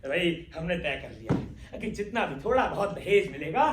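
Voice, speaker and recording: A man's voice: sits high, very animated, normal speed. A man acting a suspicious, irritable old miser of a father in a stage play, blustering and wheedling by turns. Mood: sly, coaxing. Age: young adult. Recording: distant, echoey, noisy live stage recording.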